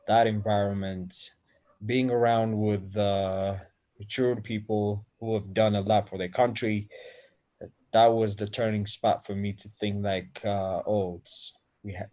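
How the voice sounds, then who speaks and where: Low, flat-voiced man from Ethiopia. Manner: halting, many fillers and restarts. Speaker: engineer and innovation center founder. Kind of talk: interview answers.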